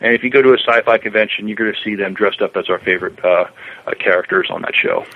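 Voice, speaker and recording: A man co-hosting a podcast, reading news and commenting in a halting, informal way. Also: on the low side. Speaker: podcast co-host. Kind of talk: reading news aloud and casual chat. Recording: dull, lo-fi, radio-like sound.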